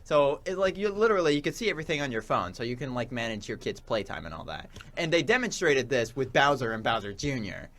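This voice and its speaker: A young man co-hosting a casual radio talk show, chatting in an excitable, rambling way and often saying "like". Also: mid-range voice, fast, hardly any pauses. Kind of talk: casual banter about games and memes.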